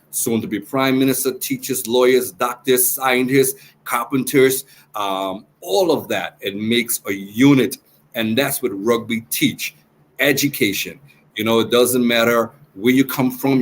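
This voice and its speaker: A man, a rugby coach speaking earnestly and proudly in a remote interview, often repeating himself. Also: medium pitch. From Turks and Caicos Islands. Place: outdoors beside team. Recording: faint background noise.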